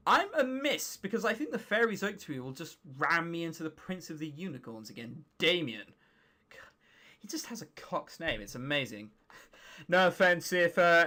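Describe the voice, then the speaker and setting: A man's voice: on the high side, bright, forceful tone. A British man in his late teens giving casual, sarcastic, sweary commentary while reading a visual novel aloud. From England or Britain. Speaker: gaming video host. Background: music.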